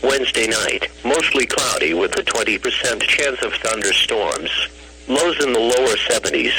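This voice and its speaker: A synthetic man's voice reading a scripted weather bulletin over the radio, formal and flat, in clipped phrases. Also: medium pitch, moderate pace, over a noisy crowd. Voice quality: synthetic text-to-speech voice. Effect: radio broadcast. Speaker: automated weather radio announcer. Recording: noisy, humming weather radio broadcast recording.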